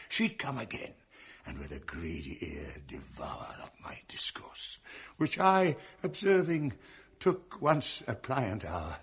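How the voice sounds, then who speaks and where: Proud general, slow sweeping shakespeare verse, voice swinging up and down a lot. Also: male voice, mid-range voice. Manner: sweeping, declamatory verse delivery. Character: noble middle-aged male general, proud and eloquent. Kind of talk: 1980s radio drama acting.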